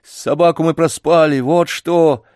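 A man voicing a rude, sharp-tongued young circus boy in a story, blunt, loud and agitated. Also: on the high side, lively intonation, normal speed, dry recording, clean studio sound, voice pushed up, close to an everyday voice. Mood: irritated.

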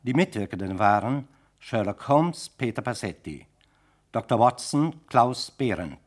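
A man's voice: medium pitch, sing-song, measured pace. A man reading closing credits as a radio announcer in a formal, scripted style.

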